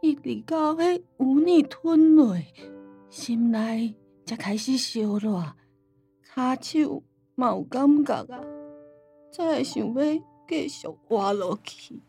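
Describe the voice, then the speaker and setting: An actress playing a frail senile grandmother with a Taiwanese accent, slow, plaintive and childlike, in a radio drama. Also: sits high, expressive, vocal fry, dark tone, husky, faint music behind, heavily acted. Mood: plaintive, remembering.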